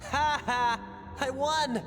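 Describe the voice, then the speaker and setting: An actor playing a boisterous, dismissive youth in an anime video game, taunting and excluding. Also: male voice, very high voice, steady tone, slow, a bit bright, full character voice. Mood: gloating, dismissive. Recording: distant long reverb, music behind.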